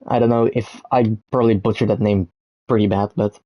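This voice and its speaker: A man's voice: lowish voice, fairly even intonation, fairly quick, no room sound, muffled recording. A young man from the Netherlands with a Dutch accent, rambling to camera with many ums and self-corrections. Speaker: content creator. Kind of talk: unscripted talk to camera.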